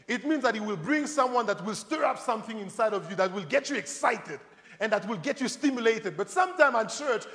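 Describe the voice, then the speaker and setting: High-voiced male preacher in a reverberant hall. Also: a bit bright. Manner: conversational, rhetorical questions, addresses congregation directly. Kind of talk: animated sermon with acted-out Bible stories. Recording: reverberant hall, long echo.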